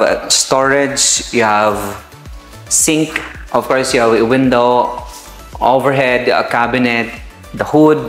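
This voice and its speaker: A man's voice: medium pitch, a bit bright. Echoey Filipino man giving a house tour. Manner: informal, mixing Tagalog and English, addressing viewers as guys. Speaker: property tour host, real estate seller. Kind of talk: casual house tour vlog narration. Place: indoors in an empty new house. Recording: echoey bare rooms, music underneath. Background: background music.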